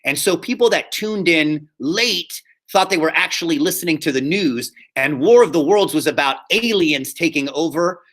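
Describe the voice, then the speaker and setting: A man teaching a high school theater class online, explaining slides in a conversational, repetitive way. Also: animated, normal speed, a bit bright. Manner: conversational and explanatory, often repeats himself. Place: live online class over video call.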